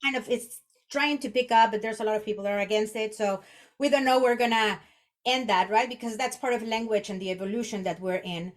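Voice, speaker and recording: Mexican-accented woman, halting and conversational. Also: on the high side. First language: Spanish. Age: 40s. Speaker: podcast guest, executive coach. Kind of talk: conversational interview, personal reflection.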